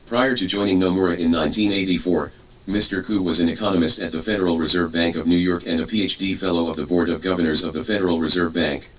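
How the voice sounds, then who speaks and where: Deep-voiced man reading from a distance. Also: fairly even intonation, fast talker, fairly bright tone. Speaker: narrator reading a translated speech. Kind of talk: read-aloud speech transcript. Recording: distant mic with clear background noise.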